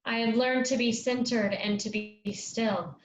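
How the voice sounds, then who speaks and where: A woman's voice: a little high, steady tone, unhurried, lightly acted. A woman as an earnest girl, echoey recording. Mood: calm, searching. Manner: earnest, reverent. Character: earnest young girl learning from forest spirits. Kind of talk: staged reading of a play. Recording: roomy, dull, noisy lo-fi recording.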